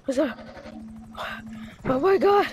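Casting: high-pitched, slow-paced excitable gamer, improvised online roleplay chatter. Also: highs cut off, background noise. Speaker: player in a zombie roleplay.

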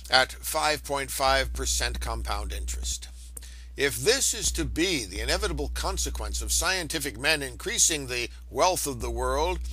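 A man reading a lecture aloud in a deliberate, emphatic way. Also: medium pitch, sharp tone, rough recording. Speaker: reader of an audiobook lecture. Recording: home recording with faint background hum.

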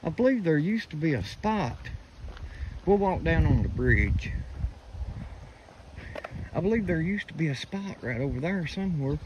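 A man's voice: on the high side, animated, moderate pace, long pauses, darkish tone, rough recording. A man, rambling folksy to viewers as he walks outdoors and films on his phone. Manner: rambling, folksy, talks to viewers while walking. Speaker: vlogger. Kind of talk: casual walking vlog. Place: rural roadside outdoors. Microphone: phone camera held while walking. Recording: outdoor phone audio with rural noise.

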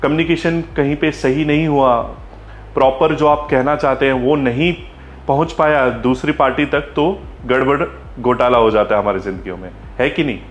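A man's voice: medium pitch, normal speed. A man in his thirties with an Indian accent presents a product review to viewers, explaining directly and checking in with short tags. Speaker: host, motorcycle gear store vlogger. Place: small helmet shop, indoors. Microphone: clip-on lapel mic. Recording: roomy echo, clear background noise.

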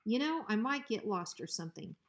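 A woman's voice: medium pitch, very animated, a little fast, gravelly. A woman plays a big, sloppy bully of a Rottweiler in a storybook. He taunts in folksy slang and mocks with menace. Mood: mock innocent. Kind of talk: children's storybook reading.

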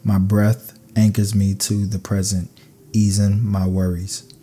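Deep, mellow man reading calming affirmations. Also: husky. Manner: calm, soothing, measured delivery. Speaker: narrator of guided affirmations. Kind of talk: scripted sleep meditation affirmations.

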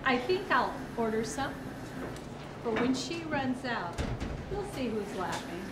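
A woman with a high voice delivers a comic monologue as a dramatic reading. She takes her time, leaning on words with pointed emphasis. The room is echoey and noisy. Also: sing-song, unhurried. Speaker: student performer. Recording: echoey room with clear background noise.

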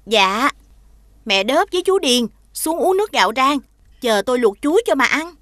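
An actress playing a respectful, eager household maid in an animated folktale, deferential and cheerful. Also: female voice, sits high, normal speed, no echo.

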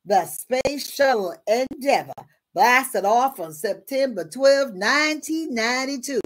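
A woman playing a folksy, exuberant churchgoing storyteller with an American accent, reading to children with husky, exclamatory asides. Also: fairly high pitch, lively intonation, unhurried. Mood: amazed, folksy. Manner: exclamatory, folksy, repeats interjections. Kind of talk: dramatic read-aloud with chatty asides. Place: small room at home.

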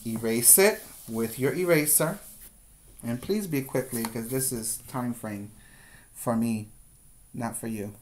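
A middle-aged man giving a preschool lesson as a teacher, with deliberate, repetitive step-by-step instructions, recorded indoors on a webcam. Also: medium pitch. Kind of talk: instructional video lesson for young children. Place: small room indoors. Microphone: webcam at desk distance.

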